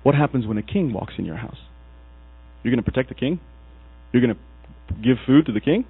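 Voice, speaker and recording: A man's voice: mid-range voice, fairly quick. Man from Egypt preaching over a tinny line. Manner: storytelling, animated, acts out quoted characters. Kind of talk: sermon. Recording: husky voice over a tinny, dry, phone-like recording with mains hum.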